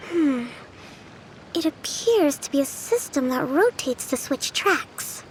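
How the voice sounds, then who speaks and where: A woman voicing a polite, inquisitive young female companion in a video game, measured and analytical. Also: high voice, lively intonation, a little hiss or hum, poor audio. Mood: curious.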